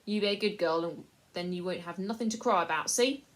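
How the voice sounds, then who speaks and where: A woman's voice: on the low side, brisk, lightly acted. A woman doing a wheedling, greedy slave trader. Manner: wheedling, coarse and chatty.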